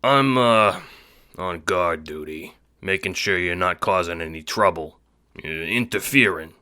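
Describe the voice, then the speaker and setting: A man playing a big, gruff but friendly and bashful henchman in an audio drama. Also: deep voice, a little slow, bright, forceful tone. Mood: casual.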